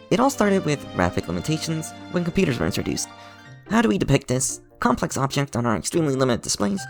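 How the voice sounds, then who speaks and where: A man's voice: medium pitch, gravelly. A man hosting his own channel, giving a playful, enthusiastic scripted tutorial with a sponsor segment. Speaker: channel host.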